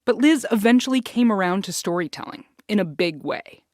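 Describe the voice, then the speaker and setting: A woman's voice: mid-range voice, lively intonation, fairly quick, no echo, studio quality. A woman with an American accent hosting a science podcast in a casual, playful, conversational way.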